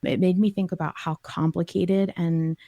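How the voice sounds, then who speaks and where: A woman podcast host with a very mellow, slightly low voice. She speaks reflectively and in a measured, explanatory way, recorded close and dry. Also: fairly even intonation, no echo, highs cut off. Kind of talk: reflective discussion.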